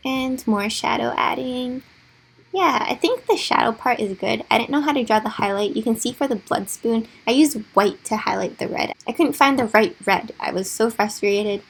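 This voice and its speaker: A young woman with a raspy voice, chatting casually and with self-deprecating humor as she talks over a drawing timelapse. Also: a little high, moderate pace. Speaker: gaming YouTuber.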